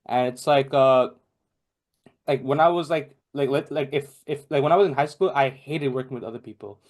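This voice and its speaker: A man's voice: mid-range voice, moderate pace. A young man from Bangladesh, a podcast guest in casual chat, rambling with many fillers and restarts. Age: young adult.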